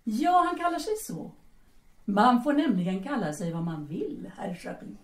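A woman's voice: fairly high pitch, sing-song. An actress in an audio drama playing a bold, seductive Parisian bohemian woman who is teasing, commanding and formal in address. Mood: brisk, probing. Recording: roomy, echoing sound.

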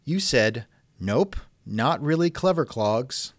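Male host for chat interview: mid-pitched, very expressive range, wry doubter. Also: slow, dry recording, clean studio sound. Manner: wry, skeptical. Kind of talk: reenacted text-chat interview, conversational.

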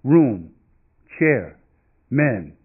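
A middle-aged man reading poetry from a script on stage, in measured, deliberate phrases with dramatic pauses. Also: mid-range voice, sing-song, husky, narrow, tinny audio. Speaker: reader at a literary event. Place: stage in an indoor auditorium. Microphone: stand microphone at a lectern.

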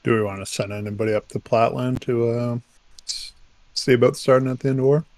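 A man's voice: mid-range voice, fairly even intonation, fairly quick, a little mellow, no room sound. A man casually reporting game moves over voice chat. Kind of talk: casual voice chat while gaming.

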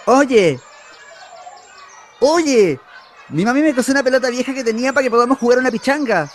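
A man's voice: extremely high pitch, lively intonation, fairly quick, a little hiss or hum, voice pushed up. A man voicing an eager, friendly little Chilean schoolboy in a radio drama.

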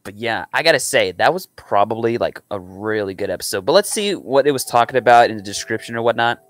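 A man's voice: medium pitch, animated, fast, no echo. A young man chatting casually and earnestly in a rambling reaction at home. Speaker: reactor and amateur voice actor. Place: small room at home.

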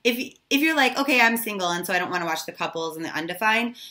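A woman in her thirties, slightly hoarse from losing her voice, giving a casual, chatty tarot reading straight to viewers. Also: medium pitch, animated, fast, pushed and bright. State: recovering from a lost voice, slightly hoarse. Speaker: tarot reader and video host.

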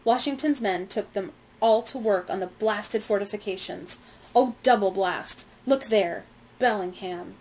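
A woman's voice: mid-range voice, a little slow, darkish tone, slight background noise, lightly acted, poor audio. A woman reading aloud and voicing a short, round man who is fretful and scolding. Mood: flustered. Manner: agitated, scolding.

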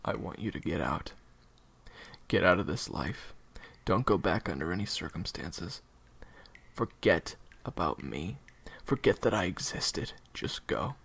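Man acting a low, gravelly, weary assassin. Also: lively intonation, a little slow, fairly bright tone, whispered, exaggerated voice. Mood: anguished farewell. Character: weary, conflicted assassin man, cold but hurting. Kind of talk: audio roleplay drama acting.

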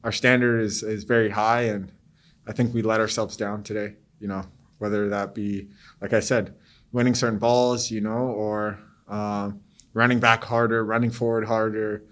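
A young man with a lowish voice gives post-game answers at a press conference. He speaks in a measured, steady way, often pausing on fillers. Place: press room before a sponsor backdrop.

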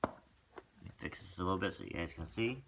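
A man's voice: sits low, moderate pace, vocal fry, very noisy. A man talking casually through a sneaker review in a room at home, rambling a little and filling gaps with "um". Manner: relaxed and rambling, with many fillers. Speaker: sneaker vlogger. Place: room with a wooden floor, indoors.

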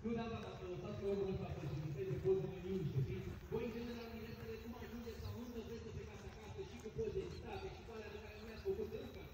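Higher-voiced man hosting in an echoey hall. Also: steady tone. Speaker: master of ceremonies at a wedding. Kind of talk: live event hosting, addressing guests. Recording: very echoey hall, distant, noisy background.

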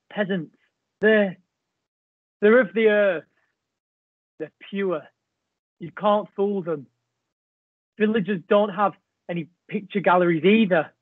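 Man acting a slow, scornful old countryman, phone-like sound. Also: high-pitched, dry recording. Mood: dismissive. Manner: blunt, contemptuous, rambling monologue. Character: bitter, crude old rural man who despises art. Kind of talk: theatrical monologue in a play reading. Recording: thin phone-like band-limited audio.

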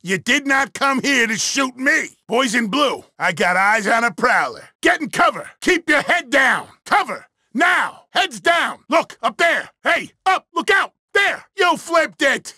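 An angry, high-strung gunman from a video game, shouting out warnings. His pitch is extremely high and swings widely, and the sound is dry and close. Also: sing-song, a little slow, hardly any pauses, no echo, exaggerated voice. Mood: urgent. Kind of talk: video game combat barks.

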